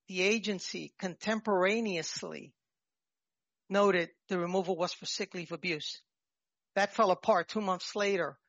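A man with a New York accent giving a formal, halting and nervous oral argument as a petitioner in court. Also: sits high, a bit bright, no room sound. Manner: halting, nervous, jumping between points. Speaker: petitioner arguing own appeal. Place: appellate courtroom. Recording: dull courtroom microphone, dry sound.

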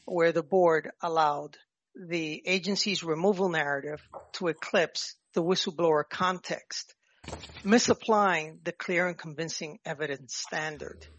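A man with a New York accent giving a formal, halting and nervous oral argument as a petitioner in court. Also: fairly high pitch, steady tone, fairly bright tone. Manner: halting, nervous, jumping between points. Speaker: petitioner arguing own appeal. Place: appellate courtroom. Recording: dull courtroom microphone, dry sound.

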